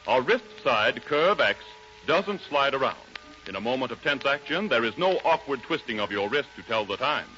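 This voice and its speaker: A man's voice: medium pitch, sharp tone. An American man announcing a 1930s radio commercial in an emphatic, polished delivery. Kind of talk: 1930s radio advertising pitch. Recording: dull old 1930s radio broadcast recording.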